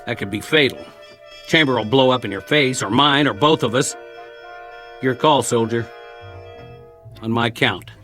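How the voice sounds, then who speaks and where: An actor playing a world-weary, wary soldier who survived a war, talking in a colloquial, rambling way in a radio drama. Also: male voice, mid-range voice, normal speed, pauses often, pushed and bright, faint music behind, natural voice. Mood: tense, daring.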